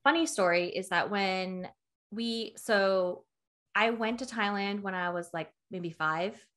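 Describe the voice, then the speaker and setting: Bright-voiced chatty young woman. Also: mid-range voice, slow pace. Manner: chatty, heavy use of "like", acts out quoted people. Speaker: podcast co-host. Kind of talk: casual podcast chat.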